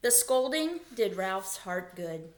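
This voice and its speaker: A woman reading a children's story aloud as a teacher, in expressive narration. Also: mid-range voice, slow pace.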